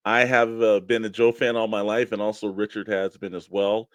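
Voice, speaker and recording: A man's voice: on the low side, flat delivery, normal speed, no room sound. A man co-hosting a toy collectors' show, bantering casually and plugging programs with emphatic hype. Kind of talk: casual radio-style show banter and plugs.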